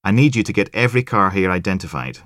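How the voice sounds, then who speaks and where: A man voicing a gruff, curt male detective inspector giving orders in a crime audiobook. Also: low-pitched, animated, quick pace, a bit bright, no echo, studio quality. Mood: stern.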